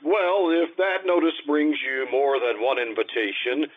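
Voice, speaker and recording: A man's voice: medium pitch, fairly even intonation, measured pace, phone-quality sound, close to an everyday voice. A man playing a pompous, easily flustered middle-aged school principal in an old-time radio comedy, speaking formally and hesitantly. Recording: thin, band-limited radio-style recording.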